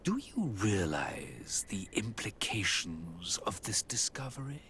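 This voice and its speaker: An actor playing a creepy, fascinated villain scientist in a game, speaking in a hushed, breathy half-whisper. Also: male voice, deep voice, sing-song, slow pace, a little hiss or hum, heavily acted. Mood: creepy fascination.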